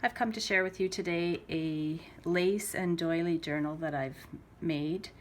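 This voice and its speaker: Stuffy-nosed woman with a low, slow voice. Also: steady tone, a little hiss or hum. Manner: soft, unhurried, with many fillers and restarts. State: stuffy from a head cold. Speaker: crafter showing a handmade journal. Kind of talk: casual show-and-tell vlog.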